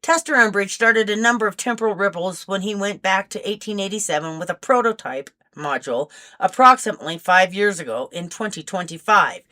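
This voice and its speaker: A stern older male agent from an audiobook , voiced by a woman, grumpy and disapproving. He speaks in stiff, formal phrases with a bright, forceful edge. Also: mid-range voice, lively intonation, fairly quick, few pauses. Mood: businesslike.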